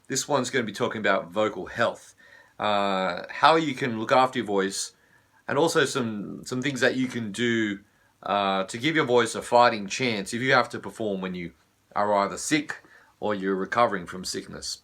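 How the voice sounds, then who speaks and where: A man with an Australian accent, a vocal coach whose voice is husky from laryngitis, explaining vocal care in a relaxed way with many fillers. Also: fairly low pitch, normal speed. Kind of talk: teaching video.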